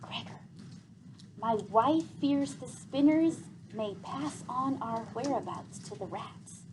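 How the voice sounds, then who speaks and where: A woman voicing a grave, courteous male elder in a storybook, anxious and reluctant, with hushed formal phrasing. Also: fairly high pitch, animated, slow pace, a little hiss or hum, voice pushed up, poor audio. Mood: hushed warning.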